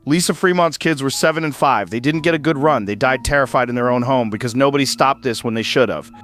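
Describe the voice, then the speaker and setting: A man's voice: mid-range voice, fast talker, fairly bright tone, natural voice. A man playing a 68-year-old small-town radio host in an audio drama, solemn and fatalistic, delivering a plain-spoken emergency broadcast monologue. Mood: grim, resolved. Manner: solemn, resolute, plain-spoken short declarations. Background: music under the voice.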